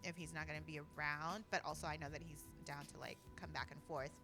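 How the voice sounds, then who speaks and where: An American woman co-hosting a casual talk show, reflecting informally with thoughtful, halting phrasing. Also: low-pitched, fairly even intonation, over music. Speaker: podcast co-host and community organizer.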